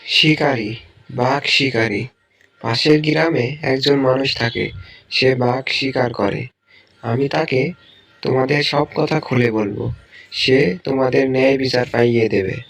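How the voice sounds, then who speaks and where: A man's voice: medium pitch, a little slow, fairly bright tone. A man voicing a clever, kindly uncle jackal in a children's cartoon, thoughtful and avuncular. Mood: reassuring.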